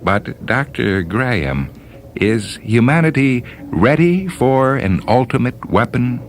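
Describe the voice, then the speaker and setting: Man voicing an earnest, hesitant polite gentleman, pitched up. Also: big pitch swings, slow, faint music behind. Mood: politely earnest. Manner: hesitant, courteous, formal. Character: earnest, polite male visitor pleading against weapons.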